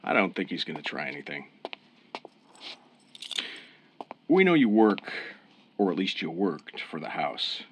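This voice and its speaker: An actor playing a calm, fair-minded police officer in a radio crime drama, measured and authoritative. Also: male voice, low-pitched, lo-fi sound, a little hiss or hum. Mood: calm, businesslike. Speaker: police interrogator. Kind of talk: old-time radio crime drama acting.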